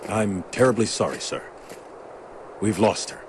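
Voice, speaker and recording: An actor playing a dutiful, courteous soldier captain in a video game, with military politeness. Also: male voice, fairly low pitch, lively intonation, measured pace. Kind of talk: video game cutscene dialogue. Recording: game audio with clear background noise.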